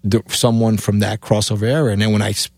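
A man's voice: lowish voice, little pitch movement, quick pace, creaky, a little mellow, no echo. A middle-aged man from Cuba with a Cuban accent, telling rambling stories as an interview guest and acting out what people said. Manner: rambling, acts out quoted dialogue. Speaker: guest musician. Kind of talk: casual storytelling interview.

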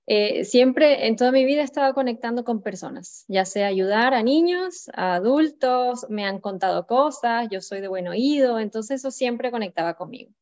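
A woman's voice: a little high, animated, moderate pace, few pauses, a little mellow, lo-fi sound. A Venezuelan woman in her thirties, a podcast guest telling her personal story in a reflective way over a remote call. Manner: reflective, many fillers and restarts.

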